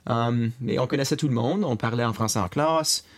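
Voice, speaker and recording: A young man from eastern Ontario with a Franco-Ontarian accent, talking casually in an interview and often pausing with fillers and restarts. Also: medium pitch. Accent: Franco-Ontarian French. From Eastern Ontario, Canada. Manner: hesitant, many fillers and restarts. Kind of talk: casual recorded conversation.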